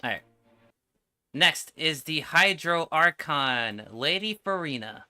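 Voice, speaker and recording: Male game streamer, informal enthusiastic commentary, very forward, crisp tone, mid pitch. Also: animated, slow, sharp tone. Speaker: streamer reacting to game trailers. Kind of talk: casual livestream commentary.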